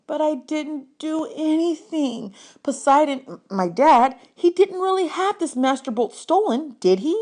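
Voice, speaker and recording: A woman's voice: sits high, expressive, unhurried, few pauses, darkish tone, voice pushed up. A woman voicing a nervous, indignant boy hero in a storybook, with hesitant, casual youthful phrasing. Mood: indignant protest.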